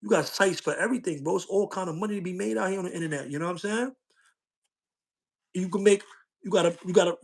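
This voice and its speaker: Street-talking man, higher voice. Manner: street slang, loops back with "you know what I'm saying". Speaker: social media streamer. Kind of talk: casual livestream talk.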